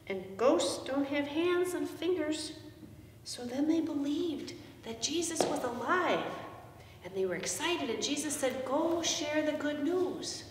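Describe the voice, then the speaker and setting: Echoey, high-voiced woman pastor. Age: middle-aged. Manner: animated, simple explanatory delivery with questions to children. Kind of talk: children's message, storytelling from a picture book. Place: indoors in a church, seated before the altar. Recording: echoey church, distant microphone, noisy background.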